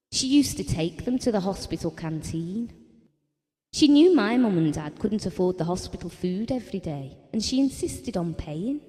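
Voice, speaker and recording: A woman's voice: fairly high pitch, dark tone. An actress playing a blunt, bitter wife and mother, confiding her memories in an audio drama. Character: Ellen, wife and mother recalling a family tragedy, bitter and blunt. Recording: reverberant hall-like sound.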